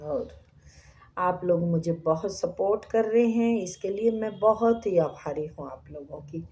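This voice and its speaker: A woman with a sore throat giving a tarot reading to her viewers, speaking softly and slipping into whispered prayers. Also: on the low side. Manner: slow, soft, drops to whispers in prayer. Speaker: tarot reader.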